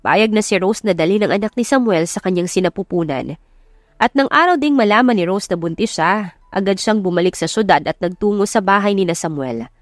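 A woman's voice: medium pitch, expressive, a little fast, no echo, studio quality. A woman narrating a scripted romance story in a dramatic audiobook style. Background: music.

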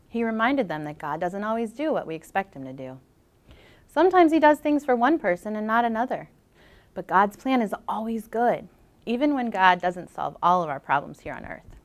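A middle-aged woman teaching a children's church lesson on a lapel mic, earnest and encouraging, then praying softly. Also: fairly high pitch. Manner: earnest, gentle and encouraging, softening into prayer. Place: indoor studio with a cartoon bedroom backdrop.

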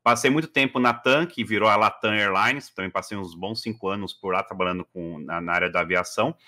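A man's voice: fairly low pitch, brisk, pushed and bright. A man, telling his story as a guest on a video call, chatty with many fillers. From Brazil. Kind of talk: casual interview storytelling. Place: living room at home.

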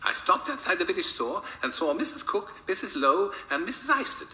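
A man's voice: sits high, very animated, moderate pace, pushed and bright, pitched above the performer's own voice, exaggerated voice. An older man voicing an eager, naive, prissy grown man in an innocent sing-song way for a comic storybook. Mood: innocent, excited. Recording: roomy theatre sound, faint background noise.

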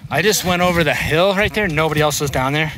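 Man, 30s, family vlogger, excited, handheld camera, outdoors, windy noisy recording. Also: a little high, fast talker. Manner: excited, exclaiming, explaining to camera. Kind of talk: casual vlog narration. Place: outdoors on a volcano slope. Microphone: handheld camera, selfie framing. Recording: loud wind and outdoor noise.

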